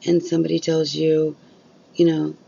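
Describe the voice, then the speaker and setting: Hoarse, gravelly woman vlogging bluntly. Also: low-pitched, completely flat delivery, moderate pace, warm tone, highs cut off. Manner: blunt, swears freely, open and honest. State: losing her voice, hoarse. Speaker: chronic illness vlogger. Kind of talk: candid casual vlog talk.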